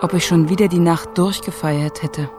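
A woman's voice: lowish voice, steady tone, normal speed, over loud music, close to an everyday voice. Earnest caring woman, an actress in radio drama. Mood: weary, reflective. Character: caring working woman, anxious, tired foster-mother figure. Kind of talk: radio play dialogue and narration.

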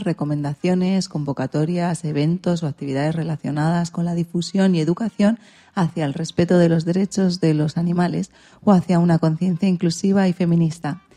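A woman's voice: on the low side, mellow. A woman hosting a radio magazine programme, presenting scripted segments.

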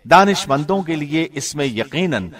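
A man, a professional radio host, giving a calm, formal studio reading of a scripture translation. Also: a little high. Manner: calm, even, formal delivery.

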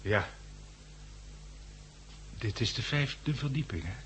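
A man's voice: mid-range voice, expressive, slow pace, a bit bright. Terse, despairing blind man. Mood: bleak, resigned. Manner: terse, bleak. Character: suddenly blind man, grimly resigned, despairing. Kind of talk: 1960s radio drama acting. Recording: 1969 radio recording, faint noise.

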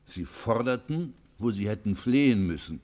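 A man performs a stern priestly confessor in a classical radio play. The voice is low, and the delivery is solemn and measured. He moralises reproachfully in formal literary language. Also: animated, darkish tone, lightly acted. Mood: grave reproach.

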